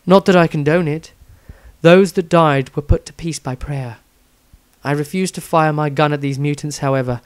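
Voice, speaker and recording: A pious, compassionate adventuress reading her fantasy diary, played by a man. The voice is fairly high, close and dry, measured and proper in an earnest way. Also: fairly even intonation, smooth, no echo, close to an everyday voice. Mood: solemn.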